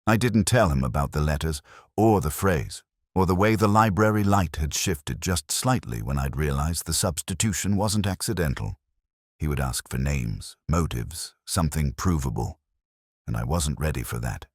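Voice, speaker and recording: A man as a very deep, brooding detective narrator. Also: expressive, no room sound, studio quality. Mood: brooding, reflective. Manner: measured, literary, introspective. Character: brooding, erudite English male detective narrating a mystery. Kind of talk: scripted first-person mystery narration.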